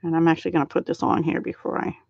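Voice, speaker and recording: A middle-aged woman hosting a live card-making stream, talking softly and thinking aloud as she works and chats with viewers. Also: low voice, flat delivery, very fast, vocal fry, dry recording. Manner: soft-spoken, thinks aloud while working. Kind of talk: casual live craft demo, chatting with viewers. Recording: dry, slightly dull home stream audio.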